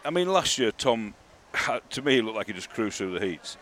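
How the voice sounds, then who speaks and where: A man's voice: fairly low pitch, very animated, fairly quick, a bit bright, slight background noise. A man co-hosting a podcast, talking emphatically in casual chat.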